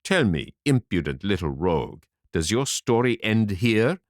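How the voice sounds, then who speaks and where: A man voicing a grumpy, stingy grown man who speaks gruffly and indignantly. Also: on the low side, sing-song, a little slow, no room sound, studio quality.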